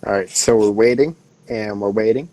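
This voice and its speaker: A man's voice: fairly low pitch, normal speed, warm tone, low-quality recording. Blunt, sweary man playing a tabletop game. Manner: blunt, heavily profane, rambling. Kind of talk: casual game chat.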